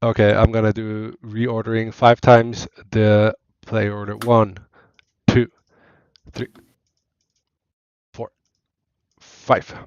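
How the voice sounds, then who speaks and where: A man's voice: on the low side, completely flat delivery, clear, resonant tone, no echo, dull audio. Swiss-accented man in casual banter. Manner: laughing, reads card text haltingly. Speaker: player, co-host. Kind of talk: casual game-night banter.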